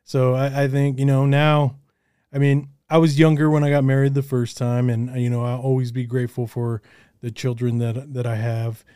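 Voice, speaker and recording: A man's voice: mid-range voice, level tone, moderate pace, dark tone, clear, resonant tone, no echo, clean studio sound. A man hosting a casual interview, affirming his guest with short encouraging replies. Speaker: interview host.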